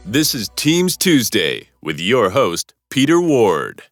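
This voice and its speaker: Slow, swooping male announcer over loud music. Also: medium pitch, huge pitch swings. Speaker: show intro announcer. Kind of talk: produced show intro announcement. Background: loud intro music.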